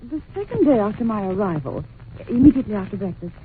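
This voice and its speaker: A woman's voice: medium pitch, sing-song, fast, phone-quality sound, lightly acted. A woman playing a polite, anxious and curious young governess in an old-time radio drama, telling things earnestly and expressively. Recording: noisy, thin old radio recording.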